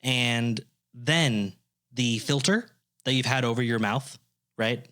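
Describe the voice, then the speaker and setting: A man's voice: takes it slowly, a bit bright. Teasing young man laughing through podcast banter. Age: 20s. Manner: teasing, breaks into high laughter. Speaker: podcast co-host.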